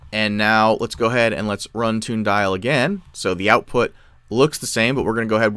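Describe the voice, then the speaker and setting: A man explains software step by step in a tutorial style. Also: on the low side, clean tone, dry recording. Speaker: tutorial presenter. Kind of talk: software tutorial, explanatory screen-share narration.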